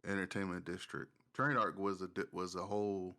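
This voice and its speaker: A man's voice: low voice, little pitch movement, a little fast, bright, forceful tone. A man co-hosting a casual podcast, telling stories in a joking, slangy and profane way. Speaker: podcast co-host. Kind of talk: casual banter.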